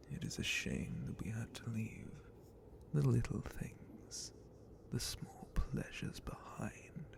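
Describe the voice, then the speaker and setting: A man voicing an ancient, menacing, bored dragon captain in an ASMR roleplay, in a breathy, creaky whisper with a deliberate, condescending manner. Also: mid-range voice, heavily acted.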